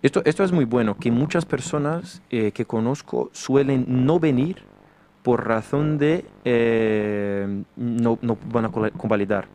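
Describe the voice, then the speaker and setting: A man's voice: medium pitch, a little slow, dark tone. Young male host from Brazil, chatty, restarts his lines, easygoing. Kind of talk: casual conversation.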